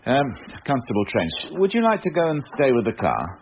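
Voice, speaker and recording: An actor playing a stern but caring police inspector in a radio drama, with a clipped, questioning, authoritative manner. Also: male voice, medium pitch, wildly swooping pitch, fairly quick. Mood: probing, firm. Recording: dull lo-fi radio audio, faint noise.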